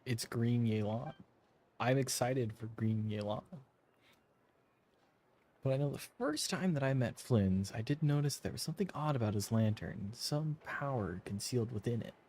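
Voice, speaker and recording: Creaky-voiced man joking through a game. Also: medium pitch, moderate pace, darkish tone. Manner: wry, goofy asides. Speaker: gaming streamer. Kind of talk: joking game commentary and casual reading aloud.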